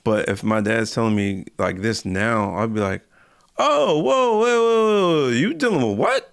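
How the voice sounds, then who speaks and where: A man's voice: mid-range voice, expressive, a little slow, gravelly, clean tone, no echo, studio quality. An American man chatting casually as a podcast host, speaking colloquially and repeating phrases for emphasis.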